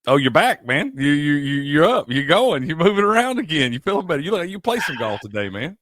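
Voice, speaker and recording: A man's voice: fairly high pitch, very animated, a little fast, fairly bright tone, no room sound, clean studio sound. A man co-hosting a sports betting stream, laid-back and drawling, who ends his sentences with "man.". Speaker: co-host. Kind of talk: casual live-stream chat.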